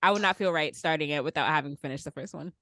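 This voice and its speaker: A woman's voice: low voice, fast, sharp tone, dry recording. A woman hosting a podcast, chatting casually about pop culture. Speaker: podcast host.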